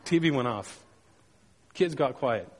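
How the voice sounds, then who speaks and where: A man's voice: medium pitch, lively intonation, measured pace, breathy edge, big-room sound. A man delivering a sermon, telling personal stories with emphatic repetition and playful sound effects. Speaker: pastor.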